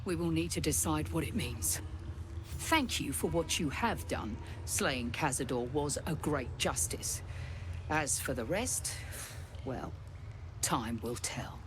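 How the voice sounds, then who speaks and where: A grave female hunter in a fantasy game. Her voice is fairly low and very unhurried, with halting, measured phrases. Clear background ambience runs behind her. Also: animated, background noise. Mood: shaken, solemn. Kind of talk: dramatic video game acting.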